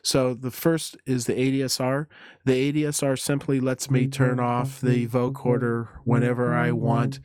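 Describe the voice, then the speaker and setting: A man narrating a hands-on synthesizer tutorial in a halting, deliberate way, explaining as he goes. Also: mid-range voice, monotone, a little mellow, clean tone, dry recording. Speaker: tutorial presenter.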